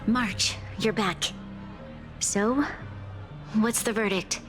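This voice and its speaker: A woman's voice: medium pitch, drawn-out words. A woman playing a dignified, dutiful young ruler in a video game, speaking formally and politely. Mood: resigned. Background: game music.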